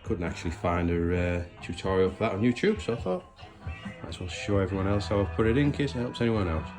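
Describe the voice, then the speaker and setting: A man with a very deep voice talks through a hands-on installation job. He is casual and matter-of-fact, pausing and hesitating as he works, with no script. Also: very animated, music in the background. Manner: matter-of-fact, hesitant, talking while working with his hands. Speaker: presenter of a DIY installation tutorial. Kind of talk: casual unscripted how-to demonstration.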